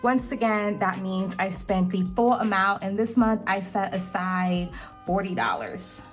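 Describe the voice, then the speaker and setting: A woman's voice: medium pitch, lively intonation, a little slow, soft background music. Chatty young woman with a cracking voice. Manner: chatty, with many ums. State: slightly cracking voice. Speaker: budgeting vlogger. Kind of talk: casual budgeting walkthrough.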